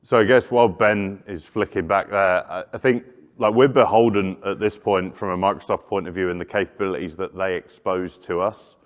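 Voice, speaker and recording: A man presenting at a conference, answering questions in a measured, hesitant way. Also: sits low, steady tone. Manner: hesitant, restarts phrases, measured. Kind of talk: conference session Q&A. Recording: long reverb of a conference hall.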